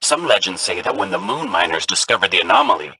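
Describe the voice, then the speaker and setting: A man voicing an excitable robot ghost companion in a video game, eager and theatrical as he tells spooky legends. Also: deep voice, fast talker, a little hiss or hum, full character voice, rough recording. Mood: spooky storytelling.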